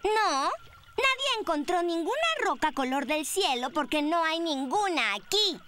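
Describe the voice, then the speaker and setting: A woman's voice: extremely high pitch, sing-song, unhurried, sharp tone, a little hiss or hum, heavily acted. A woman voicing a bossy, boastful young girl triceratops in a cartoon dub, with a know-it-all manner and a Latin American accent. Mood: stubborn, dismissive. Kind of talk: Spanish cartoon dub acting.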